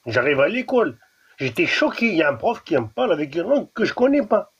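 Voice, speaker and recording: A man's voice: fairly high pitch, big pitch swings, fast, husky. Insistent Kabyle-accented man, lo-fi. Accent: Kabyle Algerian. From Algeria. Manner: insistent, repetitive, restarts words. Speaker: debate guest. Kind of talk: heated live debate.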